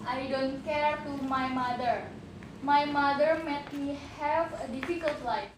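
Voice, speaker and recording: A woman's voice: sits high, fairly even intonation, unhurried. A woman acting a rude, ungrateful, demanding young daughter in an amateur puppet show. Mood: defiant, dismissive. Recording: echoey room with background noise.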